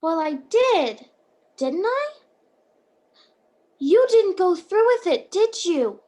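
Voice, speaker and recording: Woman playing a very high-voiced, earnest apprentice angel. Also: very animated, slow pace, darkish tone, full character voice. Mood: chatty, flustered. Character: earnest, bumbling apprentice guardian angel, kindly and chatty. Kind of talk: radio play acting. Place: at home on a video call.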